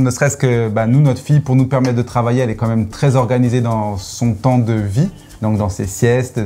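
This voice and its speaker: A man's voice: medium pitch, mellow. A young man from Senegal, chatting as an interview guest, joking and playful, explaining with many fillers. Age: young adult. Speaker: guest, content creator. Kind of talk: casual interview chat.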